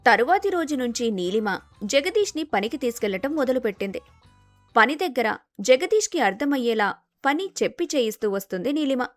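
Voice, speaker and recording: A woman's voice: fairly high pitch, big pitch swings, moderate pace, no echo, faint music behind. A woman storyteller reading a scripted story narration.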